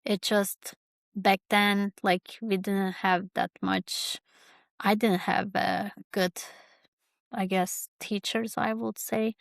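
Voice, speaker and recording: Slow, halting woman. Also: mid-range voice, little pitch movement, gravelly, dry recording. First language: Kurdish. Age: 30s. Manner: halting, searching for words, many fillers. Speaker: podcast host. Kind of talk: reflective personal monologue.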